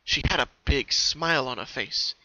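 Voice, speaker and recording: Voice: medium pitch, moderate pace, bright, forceful tone, poor audio. A narrator giving a scripted dramatic reading of a fan story. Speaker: narrator, fan fiction reader.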